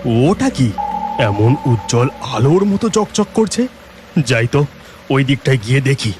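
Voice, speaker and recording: A man plays a humble village farmer in a cartoon. His voice is mid-pitched, and he talks in plain, exclamatory, dramatic phrases, like a pious man given to lamenting. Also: big pitch swings, unhurried, over music. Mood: curious. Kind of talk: children's cartoon voice acting.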